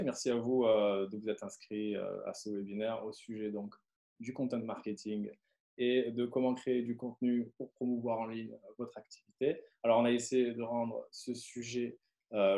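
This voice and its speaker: Guy presenting a webinar, lots of ums, gravelly, echoey room sound. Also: mid-range voice, level tone, normal speed, mellow. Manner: hesitant, many fillers and restarts.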